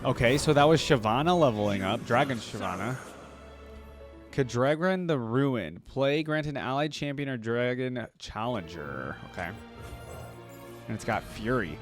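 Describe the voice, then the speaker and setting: A man's voice: medium pitch, expressive, a little slow. A man hosting a reaction video, rambling conversationally and musing aloud in casual commentary. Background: game music.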